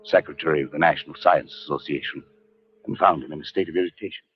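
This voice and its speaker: Learned scientist, deep pitch, husky, measured, skeptical, vintage radio drama, dull lo-fi recording. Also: male voice, soft background music. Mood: grave, haunted. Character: learned, skeptical British scientist turned fearful. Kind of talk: 1940s radio acting, first-person narration.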